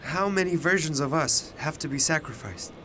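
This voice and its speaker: A man's voice: on the high side, lively intonation, moderate pace, noisy background, lightly acted. An actor in a radio drama playing an anxious but defiant young male university student, questioning with earnest emotion. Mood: challenging. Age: young adult.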